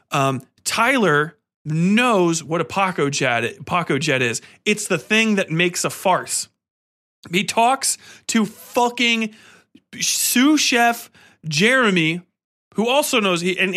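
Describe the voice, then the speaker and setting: A man hosting a podcast, giving casual, rambling film commentary in an excitable, emphatic, sweary way. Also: a little high. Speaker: podcast host.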